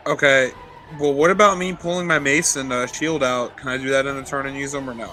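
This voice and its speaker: A man's voice: medium pitch, fairly even intonation, brisk, pushed and bright, soft background music. A man playing a tabletop game, asking hesitant questions about the rules. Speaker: player.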